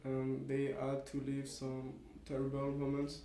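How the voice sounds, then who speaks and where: A man's voice: mid-range voice, completely flat delivery, measured pace, dark tone. A young man from France, a student volunteer giving casual, rambling, tentative answers in an interview. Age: young adult. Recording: roomy echo.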